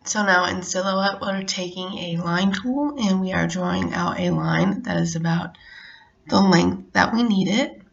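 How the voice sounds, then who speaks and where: Casual craft tutorial host, middle-aged woman, lowish gravelly voice, relaxed step-by-step delivery, slightly muffled recording. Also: unhurried. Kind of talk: casual how-to narration.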